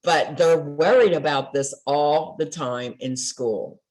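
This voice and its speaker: A woman's voice: low-pitched, slow pace, a little mellow, rough recording. An older woman speaking as a guest coach in a casual podcast interview, telling stories and acting out quoted dialogue with animation. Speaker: trauma recovery coach guest.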